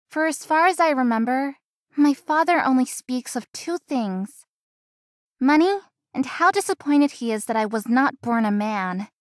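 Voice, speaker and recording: Casting: actress for sweet, hardworking daughter in a romance visual novel, high voice, dry recording. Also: female voice, dry recording. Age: young adult. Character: dutiful, gentle young working daughter, playful friend.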